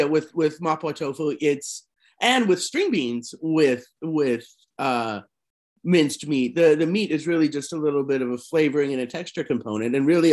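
Man, interviewer, slightly high pitch, curious, chatty follow-ups. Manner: curious, asks follow-up questions. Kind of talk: conversational interview.

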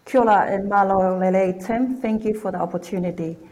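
A woman's voice: mid-range voice, a little fast, a little mellow, a little hiss or hum. A woman from Tonga, a formal and gracious election candidate.

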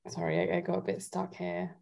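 A woman's voice: lowish voice, monotone, fairly quick, vocal fry, a little mellow. A woman from Italy chatting casually as a guest singer-songwriter in an interview.

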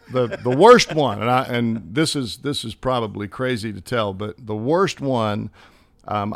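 Folksy Southern man with a country accent. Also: medium pitch. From Southern United States. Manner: folksy, chuckling, homespun sayings. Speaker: guest, former ballplayer. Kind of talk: casual interview storytelling.

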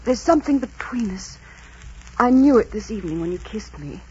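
An actress playing a proud, romantic young gentlewoman in 1940s radio style, speaking formally and with earnest drama. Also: on the high side, animated, moderate pace, a little hiss or hum, natural voice. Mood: earnest, loving. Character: spirited young high-born woman, romantic and proud. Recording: dull 1940s radio recording with music.

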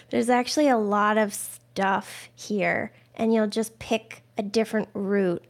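A woman's voice: mid-range voice, steady tone, slow pace. Woman, podcast host, casual, conversational, says like a lot. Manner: conversational, frequent "like". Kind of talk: casual interview chat.

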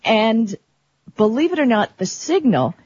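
A tech talk show co-host explaining things in a chatty, colloquial, conversational way, with a husky, rough-edged voice. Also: mid-range voice, sing-song, unhurried, noisy background. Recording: dull, lo-fi audio.

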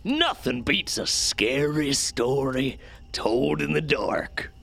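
A man's voice: medium pitch, sing-song, slow, vocal fry, bright, forceful tone, exaggerated voice. An actor playing an easygoing, folksy man in an audio drama, with casual, hesitant phrasing. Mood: curious. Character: folksy, easygoing man, drawn to scary stories.

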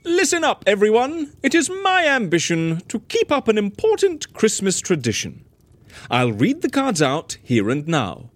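Flamboyant boss, wildly swooping voice. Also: male voice, high-pitched, huge pitch swings, measured pace, dry recording, exaggerated voice. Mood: grandly announcing. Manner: showy, declamatory. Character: flamboyant, theatrical male boss reading cards aloud. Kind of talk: rhyming audio drama acting.

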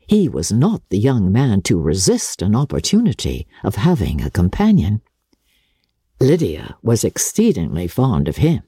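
Husky, very expressive woman narrator. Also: extremely low pitch, no echo, clean studio sound. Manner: expressive, measured storytelling. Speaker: audiobook narrator. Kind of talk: dramatic literary narration.

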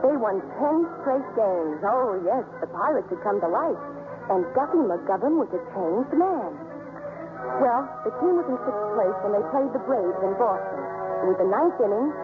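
A woman's voice: medium pitch, close to an everyday voice. A woman playing a wry storyteller, narrating baseball games dramatically in a scripted 1950s radio style. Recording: tinny 1950s radio recording with music.

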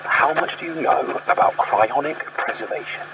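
A man's voice: a little high, moderate pace, very noisy, natural voice. An actor playing an exasperated man on a phone call in an audio drama.

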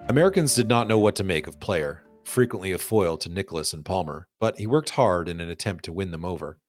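Male narrator, somewhat deep, measured long-form storytelling for a narrative podcast, recorded very dry. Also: lively intonation, no room sound, faint music behind. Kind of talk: scripted narrative documentary narration with read-out quotes.

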